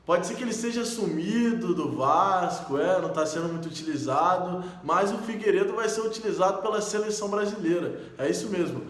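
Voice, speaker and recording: A man's voice: high voice, a little hiss or hum. A man with a Brazilian accent hosting a fan channel, giving a casual sports news roundup as an eager fan who calls the club "ours.". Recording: roomy echo.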